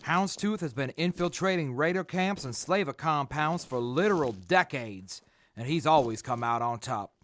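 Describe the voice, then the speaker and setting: A man playing an earnest, level-headed soldier in a post-apocalyptic audio drama, measured and sincere. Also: a little high, lively intonation, a bit bright.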